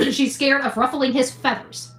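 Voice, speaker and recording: A woman's voice: high-pitched, little pitch movement, unhurried, a bit bright. A woman delivering an impassioned, blunt opinion rant as a show host, scolding, mildly profane and repeating phrases for emphasis. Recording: echoey room, faint music behind.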